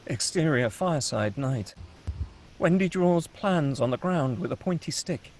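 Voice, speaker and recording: A man's voice: on the high side, animated, unhurried, darkish tone. A man reading screenplay action and scene headings as the narrator of a table read.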